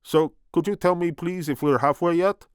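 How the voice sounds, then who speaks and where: Guy doing a gentle meek giant from a storybook, polite n sorry, pitched up, close dry sound. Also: fairly even intonation, normal speed, clean tone, no room sound, close to an everyday voice. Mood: meek, apologetic.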